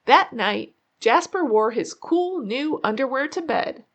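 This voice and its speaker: A woman reading a children's story aloud in an expressive way, drawing out key words for suspense. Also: high voice, unhurried. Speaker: children's book narrator. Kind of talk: animated read-aloud storytelling.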